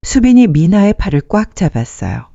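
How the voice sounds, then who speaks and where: Mellow storyteller with animated pitch. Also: normal speed, creaky, dry recording. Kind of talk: scripted dramatic story narration. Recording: muffled dry studio sound.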